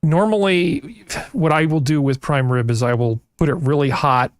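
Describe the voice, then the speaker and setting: A man's voice: on the high side, moderate pace. A man in casual conversation as a panel guest, explaining things in a laid-back, folksy way. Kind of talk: casual chat.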